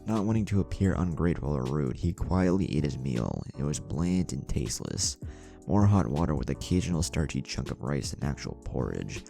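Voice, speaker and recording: A man's voice: rumbling low, sing-song, normal speed, gravelly, dark tone. A man reading a scripted story aloud as narrator over music. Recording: music bed under the voice.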